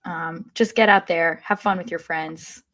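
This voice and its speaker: Slightly low-voiced woman chatting casually. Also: fairly even intonation, measured pace, a bit bright. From upstate New York, US. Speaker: podcast panelist. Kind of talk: casual chat.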